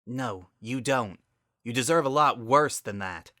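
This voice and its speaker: Man voicing a sharp, sarcastic angry pegasus mare. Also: medium pitch, a little slow, no echo, clean studio sound. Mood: angry, threatening. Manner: biting, sarcastic, confrontational. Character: angry, sarcastic female pegasus pony, bitter older sister. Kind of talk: dramatic story reading.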